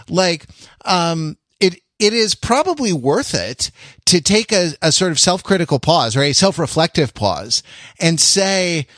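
Dry-sounding, higher-voiced man co-hosting a podcast. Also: fairly bright tone, no echo. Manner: wordy, halting, rephrases himself. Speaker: podcast co-host. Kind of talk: casual intellectual podcast discussion.

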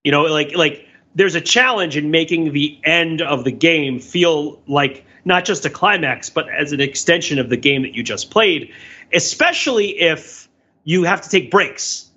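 A man's voice: on the high side, unhurried, bright, forceful tone, breathy edge, muffled recording. A man talking casually as a podcast panelist, discursively explaining with examples and frequent check-ins.

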